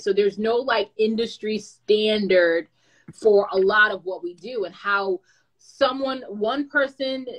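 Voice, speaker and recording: A woman who is a content creator and brand manager, giving advice in a lively, chatty way with playful asides. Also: mid-range voice, low-quality recording. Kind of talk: casual advice talk.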